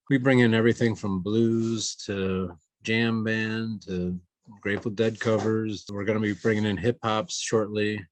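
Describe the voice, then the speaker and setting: A man's voice: fairly low pitch, dry recording. A man working as a concert promoter, talking casually with some hesitations in a documentary interview.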